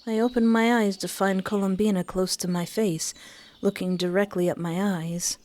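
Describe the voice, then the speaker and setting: A woman reading a visual novel aloud, voicing the narration and the dialogue expressively. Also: moderate pace. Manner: expressive, shifts pitch for each character.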